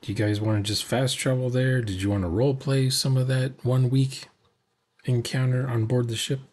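An older man comments casually on a roleplay session. His voice is husky and unclear at the edges, at an average pitch for a man. He speaks as a host reacting aloud. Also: steady tone, normal speed, a little mellow. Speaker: video host commenting on a game recording.

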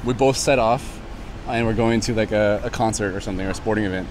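A man's voice: lowish voice, quick pace. A man in his thirties chatting casually and loosely as a vlog host, recorded on location with a small handheld mic. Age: 30s. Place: in a moving car and outdoors in a parking lot. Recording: outdoor urban and car noise.

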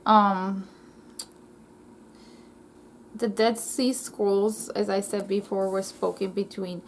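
A woman's voice: medium pitch, little pitch movement, slow. A young woman from Israel chatting on her bedroom webcam vlog, reading notes with frequent ums and self-corrections. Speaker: language vlogger. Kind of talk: casual educational vlog. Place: small bedroom, indoors. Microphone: laptop webcam mic.

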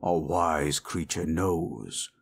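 A man voicing a proud, possessive forest monster in human shape, gravelly and husky, speaking in stilted, imperious phrases for an audio roleplay. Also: a bass voice, exaggerated voice. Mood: possessive, commanding. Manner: slow, imperious, formal. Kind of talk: dramatic audio roleplay, stilted formal speech.

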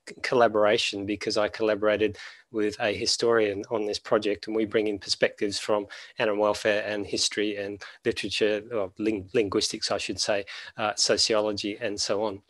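A man's voice: lowish voice, little pitch movement, brisk, a bit bright, no room sound. A man in his forties giving a conference keynote over a video call on a headset mic, conversational with many fillers and restarts. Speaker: keynote speaker, veterinary professor. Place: small office at home.

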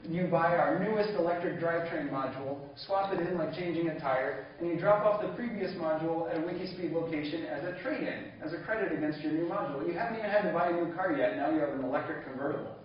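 A man with a fairly high voice delivers an enthusiastic keynote speech in a large conference hall. The sound is dull and lo-fi, picked up from a distance, with heavy echo from the room. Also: fast talker, hardly any pauses, slight background noise. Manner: enthusiastic, laughs along with the audience. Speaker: keynote speaker.

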